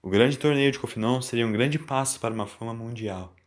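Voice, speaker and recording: A man's voice: mid-range voice, a little fast. A man with a Brazilian accent reading a scripted story as its narrator.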